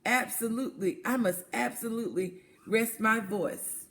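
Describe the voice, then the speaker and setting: A woman's voice: on the high side, normal speed, fairly bright tone. A woman voicing a vain, idle goose in a children's storybook, with an affected, excuse-making manner. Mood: haughty refusal.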